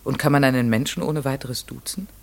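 A man's voice: medium pitch, fairly even intonation, fairly quick, creaky, close to an everyday voice. An actor playing a curious, courteous, methodical man in a classic radio play, speaking in a careful, articulate and inquisitive way. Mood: polite, tentative. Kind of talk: classic German radio play, narration and dialogue.

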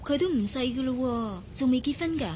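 An actress playing a playful, coquettish young secretary with a coy, teasing way of talking in Hong Kong Cantonese radio drama. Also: female voice, high voice, animated, a little fast, warm tone, slight background noise. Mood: curious. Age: young adult.

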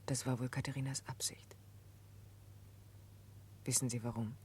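Noisy vintage radio, low-voiced earnest confidante. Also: female voice, little pitch movement, normal speed, dark tone. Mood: earnest, consoling. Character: earnest, compassionate woman, a calm moral confidante. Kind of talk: 1960s German radio drama acting. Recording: noisy 1960s radio recording.

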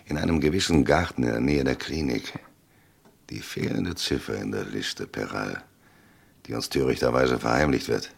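A gruff, shrewd police chief in a radio crime drama. His voice is very low and husky, and he weighs his words in terse, deliberate phrases. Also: exaggerated voice. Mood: pondering, shrewd. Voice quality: gravelly, husky.

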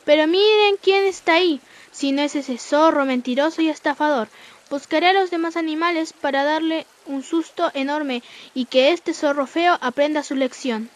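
A woman's voice: high-pitched, normal speed, close to an everyday voice. A young woman with a Chilean accent playing a shrewd, scolding female partridge in an amateur radio play. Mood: indignant.

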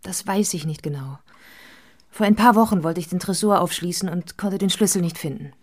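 Actress as husky, self-assured refined wife. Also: female voice, on the low side, fairly quick, a little mellow, lightly acted. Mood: guarded. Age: 30s. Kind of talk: German radio drama acting.

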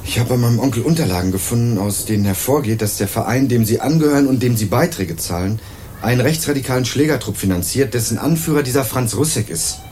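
A man's voice: medium pitch, fast talker, a little mellow, slight background noise, close to an everyday voice. A young man acting an earnest, wryly sardonic student investigator in a German radio crime drama, articulate and dry. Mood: earnest, accusing. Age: young adult.

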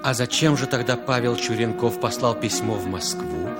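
An actor as a solemn, declamatory narrator in a 1960s radio drama, putting rhetorical questions. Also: male voice, medium pitch, moderate pace, close to an everyday voice. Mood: grave. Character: solemn, dramatic narrator of a Cold War story. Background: music.

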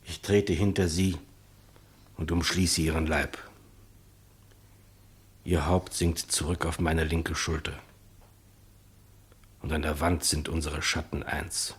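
An actor playing a humble, gentle executioner in a 1950s German radio play, speaking with hushed reverence in a husky, breathy voice. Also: male voice, very deep voice, flat delivery, exaggerated voice. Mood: calm, tender. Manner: slow, reverent, deferential, hushed. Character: humble, gentle executioner, calm servant of the rulers.

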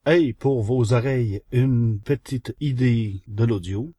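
A man's voice: mid-range voice, slow, mellow, husky, dry recording, studio quality. A man with a Quebec French accent presenting a semi-prepared review column as a podcast columnist.

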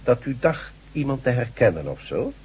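An actor playing a self-assured, authoritative doctor in a radio drama, measured and probing as he questions. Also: mid-range voice, very animated, a little mellow, husky. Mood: reassuring, probing. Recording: dull, lo-fi radio play recording.

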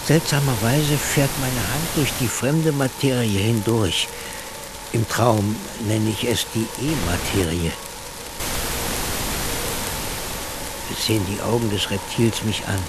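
An actor playing a pensive, cerebral man in a literary radio play monologue, speaking in a measured, reflective manner. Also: on the low side, heavy background noise, close to an everyday voice. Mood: uneasy, dreamlike. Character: pensive man musing on mind, matter and dreams.

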